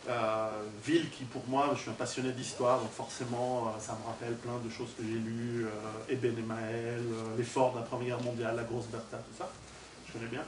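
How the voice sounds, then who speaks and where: A man's voice: mid-range voice, little pitch movement, brisk, a crowd in the background. A man from Switzerland gives an informal talk to an audience, conversational and joking, projecting his voice without a microphone and putting questions to the room. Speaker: guest lecturer and author. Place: indoor hall, recorded at a distance. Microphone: distant camera or recorder, no microphone on speaker. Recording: echoey hall from far off, noisy.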